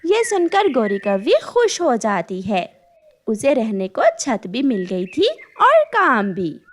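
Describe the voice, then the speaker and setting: A woman's voice: fairly high pitch, wildly swooping pitch, slow pace, slight background noise. A woman narrating a scripted cartoon story as the storyteller.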